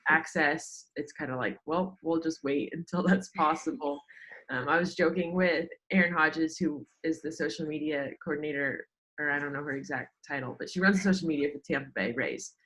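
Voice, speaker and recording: Young American woman, slightly low voice, informal and chatty podcast guest, frequent "like" fillers. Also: lively intonation, moderate pace, fairly bright tone, rough recording. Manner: informal, chatty, heavy use of "like". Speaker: podcast guest, sports social media worker. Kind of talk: casual interview chat.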